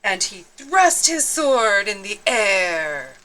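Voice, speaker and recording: A woman's voice: mid-range voice, sing-song, slow, sharp tone. A woman narrating a children's storybook expressively, with theatrical, dramatic emphasis.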